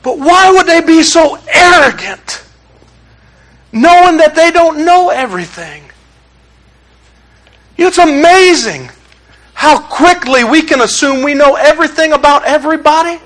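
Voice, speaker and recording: High-voiced sarcastic preacher from Detroit. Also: male voice, animated, measured pace, long pauses, fairly bright tone, poor audio. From Detroit, USA. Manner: sarcastic, conversational, folksy. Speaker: pastor. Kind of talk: sermon.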